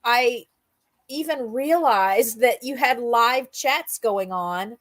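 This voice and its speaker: A woman's voice: fairly high pitch, slow, fairly bright tone, no room sound. A middle-aged or older woman hosting a casual livestream chat, talking in an enthusiastic, rambling storytelling way. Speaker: host of a livestream roundup show.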